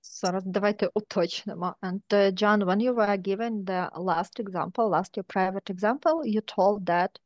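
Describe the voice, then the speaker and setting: A woman's voice: mid-range voice, moderate pace, few pauses, no echo. A woman interpreting a webinar over a video call, phrasing her words carefully. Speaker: interpreter and moderator.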